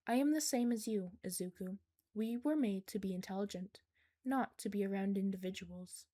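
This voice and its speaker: A woman plays a whiskered, highly intelligent creature held captive in a story. It speaks in measured, precise sentences. Its manner is analytical and unemotional, made for an audiobook. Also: mellow, lightly acted. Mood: matter-of-fact. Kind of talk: audiobook character dialogue.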